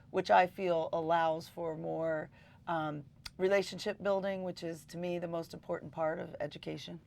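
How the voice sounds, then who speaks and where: A woman's voice: fairly low pitch, gravelly, a little mellow. A middle-aged woman teacher talking in an interview, enthusiastic and full of ums. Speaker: high school teacher.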